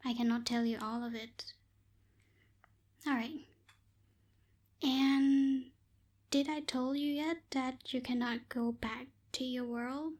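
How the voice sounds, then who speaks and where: A young woman voicing a bossy but secretly caring tsundere witch in a close-miked ASMR roleplay, softly scolding and a little hesitant. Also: high-pitched, steady tone, takes it slowly, long pauses. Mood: protective, matter-of-fact. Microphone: close binaural microphone.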